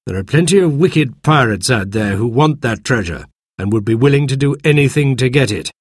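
A man's voice: medium pitch, lively intonation, brisk, breathy edge, dry recording, studio quality, pitched above the performer's own voice. A man voicing a careful, serious older doctor who speaks earnestly. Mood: stern.

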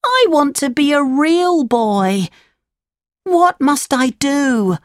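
A woman's voice: sits high, sing-song, takes it slowly, no room sound, studio quality, voice pushed up, heavily acted. A woman voicing a childlike, anxious wooden puppet boy who pleads and questions in a children's storybook. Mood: pleading. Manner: pleading, wide-eyed questioning.

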